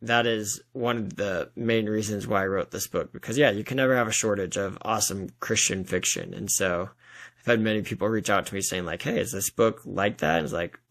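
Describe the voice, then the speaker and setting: A man's voice: fairly low pitch, steady tone, fairly quick, a bit bright. A man speaking as a guest author in a casual interview, with an earnest, enthusiastic and informal manner.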